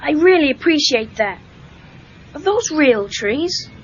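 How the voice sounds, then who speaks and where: A woman's voice: sits high, animated, slow pace, a little hiss or hum. A woman playing a guarded, earnest teenage boy with an American accent and casual delivery in an audio story. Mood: curious, polite. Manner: casual, defensive. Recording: dull cassette tape with music.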